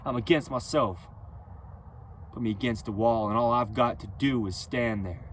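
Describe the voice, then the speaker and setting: A man delivering a rhythmic, rhyming spoken-word freestyle with a preachy, emphatic and loud delivery. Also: mid-range voice, normal speed, slight background noise. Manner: loud, near-yelling delivery.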